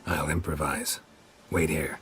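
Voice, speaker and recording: Gruff veteran monster hunter, very low voice, laconic, wry, protective mentor, video game cutscene, noisy ambience. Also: male voice, flat delivery, unhurried, a bit bright. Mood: wry, calm. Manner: laconic, dry, understated. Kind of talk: cinematic video game acting.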